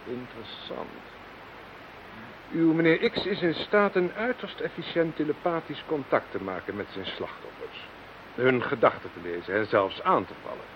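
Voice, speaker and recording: An actor playing a smug, condescending scientific expert in a radio play, speaking formally and pompously. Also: male voice, a little high, expressive, moderate pace, natural voice. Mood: smug, fascinated. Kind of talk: Dutch radio play acting. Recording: dull, hissy radio play recording.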